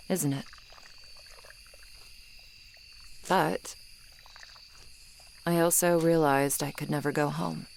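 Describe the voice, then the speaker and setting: Woman doing a tsundere swamp monster girl, slow n halting, grumpy but lonely, asmr close, crickets and frogs behind her. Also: deep voice, vocal fry, a little hiss or hum, full character voice. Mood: defensive, resigned. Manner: grudging, guarded, halting, close and intimate delivery. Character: gruff tsundere female swamp monster, once human, lonely. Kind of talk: ASMR roleplay, acted monologue to the listener. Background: insects and frogs, swamp night ambience.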